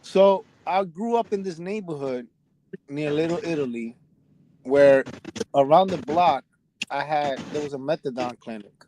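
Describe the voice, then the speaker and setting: A middle-aged man with a somewhat high voice tells a long personal story, doubling back and restarting his sentences. Casual podcast talk with a faint hiss in the background. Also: measured pace, mellow, low-quality recording. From United States. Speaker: podcast host. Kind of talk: casual conversation.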